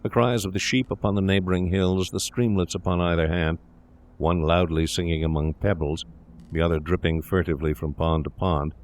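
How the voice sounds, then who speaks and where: A man narrating a scripted gothic story in a measured, solemn, literary manner. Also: low voice, flat delivery.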